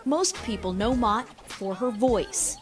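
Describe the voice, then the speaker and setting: A woman with a high voice delivers scripted TV news narration as a reporter. Music plays clearly behind her. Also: slow pace. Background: music bed.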